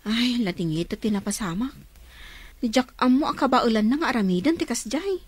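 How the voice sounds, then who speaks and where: A woman's voice: a little high, expressive, brisk, breathy edge, no room sound. A woman playing a concerned, inquisitive older neighbour in a radio drama, with a Filipino accent. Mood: regretful.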